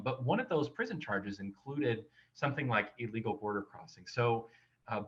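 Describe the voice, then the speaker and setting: A man giving a measured, explanatory academic presentation on a webinar, speaking over a video call. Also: medium pitch, bright, forceful tone, muffled recording. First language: not Chinese. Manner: measured, explanatory, with occasional fillers. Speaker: research presenter.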